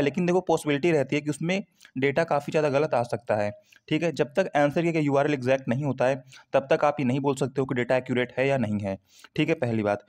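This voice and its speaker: A man's voice: mid-range voice, a little mellow. A man giving an informal, conversational explainer to students, often adding check-in tags and mixing in English terms. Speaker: exam prep YouTuber.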